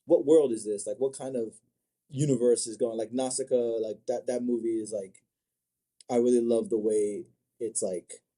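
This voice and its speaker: A man from Africa chatting casually as an interview guest, thinking aloud in a halting, word-repeating way. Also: medium pitch, steady tone, warm tone. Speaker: manga creator, podcast guest.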